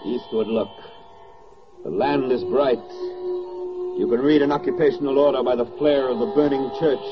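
An actor as a grave, sardonic narrator of a wartime 1940s radio drama, declaiming in a rhetorical style. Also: male voice, mid-range voice, normal speed, lightly acted. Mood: grim, ironic. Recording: dull 1940s radio record with music.

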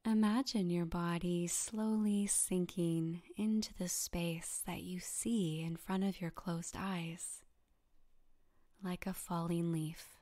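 A woman softly guiding a meditation in a breathy, half-whispered voice, calm and soothing, with gentle instructions and long pauses. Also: lowish voice. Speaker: yoga nidra meditation guide. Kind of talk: guided meditation narration.

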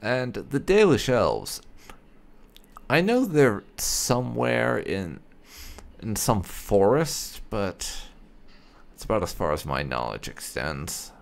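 Low, creaky-voiced man commentating a game. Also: sing-song, slow. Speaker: let's play host. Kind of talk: casual gameplay commentary with roleplayed dialogue.

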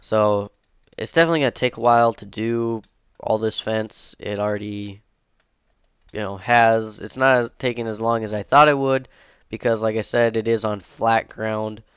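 A man's voice: lowish voice, steady tone, moderate pace, fairly bright tone, clean tone, no room sound, lo-fi sound. A young man with a cold and a sniffly voice, casually rambling through a commentary as he edits a game map, with lots of fillers and self-corrections. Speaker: modding streamer. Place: home room at a computer. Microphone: headset.

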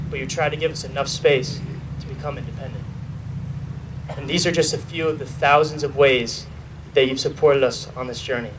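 A young man, just graduating, gives the student speech at an outdoor podium, earnest with light jokes. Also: mid-range voice. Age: young adult. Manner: earnest, lightly joking, reads from notes. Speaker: student speaker. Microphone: podium mic filmed from a far camera. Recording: distant outdoor recording with noise.

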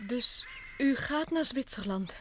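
A woman's voice: on the high side, animated, slow. An actress playing an anxious, evasive young nightclub woman in an old radio play, pleading and hesitant. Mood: worried, pleading. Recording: noisy vintage radio recording.

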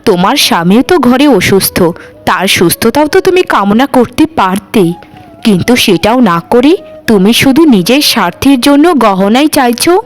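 Wish-granting water spirit for animation, played by a woman: fairly high, solemn, formal, admonishing female voice. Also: lightly acted.

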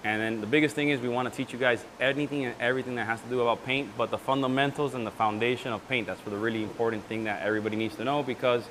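A man in his thirties leads a paint workshop in a casual, joking way, tossing out half-finished thoughts. His voice sits at an ordinary pitch and comes through a clip-on mic in an indoor room. A faint hum of room noise lies underneath. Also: steady tone, very fast, hardly any pauses, fairly bright tone, poor audio. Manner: loose and jokey, trailing off mid-sentence. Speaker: host and paint maker. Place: indoor event room before a backdrop. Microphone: lapel mic.

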